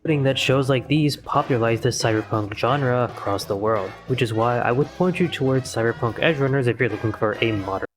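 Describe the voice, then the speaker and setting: A man reading a scripted explainer narration in an even, measured way. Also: mid-range voice, faint music behind. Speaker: video narrator.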